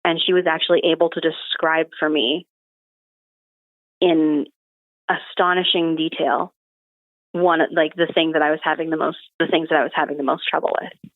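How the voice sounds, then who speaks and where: Young woman, low voice, phone-like sound. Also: flat delivery, normal speed, pauses often, no echo. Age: young adult. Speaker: podcast guest. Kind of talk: casual personal storytelling. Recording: narrow phone-like call audio.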